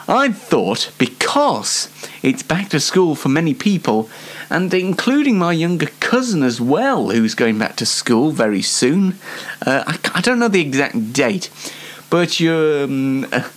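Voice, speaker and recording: A twenty-year-old man hosting his own show in a rambling, self-mocking, comedic chat with playful theatrics. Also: fairly high pitch. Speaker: host of a YouTube show. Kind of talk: chatty comedic monologue.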